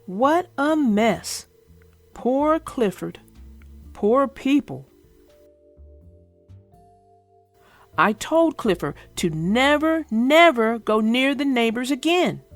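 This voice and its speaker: A woman voicing an earnest young girl who tells about her dog in a simple, expressive storybook read-aloud. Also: a little high, slow, dry recording, soft background music. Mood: dismayed.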